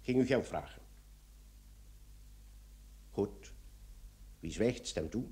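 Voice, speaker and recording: An actor playing a brisk, authoritative police commissioner in a radio play, with clipped questioning. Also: male voice, on the low side, animated, warm tone. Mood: stern.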